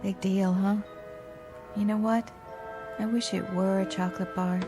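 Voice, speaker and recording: A woman's voice: mid-range voice, flat delivery, measured pace, music in the background, close to an everyday voice. An actress in a radio drama playing an earnest, friendly working woman, plain-spoken with a wistful, hesitant edge.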